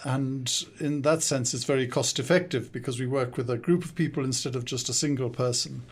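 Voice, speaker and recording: An older man born in South Africa and raised in the UK, speaking with an English accent, answering interview questions in a studio in a thoughtful, measured way with frequent hesitations. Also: mid-range voice, fairly even intonation, breathy edge. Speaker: guest, consultant and coach. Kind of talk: podcast interview.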